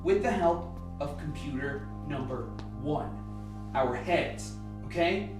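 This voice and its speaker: Very echoey, low-voiced woman as a skeptical lead scientist. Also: takes it slowly. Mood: methodical. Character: methodical, skeptical male senior scientist leading investigation. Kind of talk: radio play acting. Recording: very echoey room, distant mic. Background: music.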